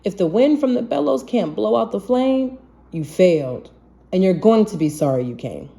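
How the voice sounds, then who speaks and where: A woman reading a rhyming children's storybook aloud in an expressive, measured manner. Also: medium pitch, gravelly. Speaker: children's book reader.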